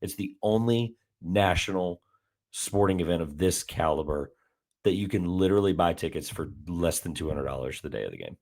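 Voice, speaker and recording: A man hosting a casual sports podcast, his voice a little raspy from days of talking, rambling with many fillers and restarts. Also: low-pitched, expressive, moderate pace, darkish tone. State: slightly raspy from heavy talking. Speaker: podcast host.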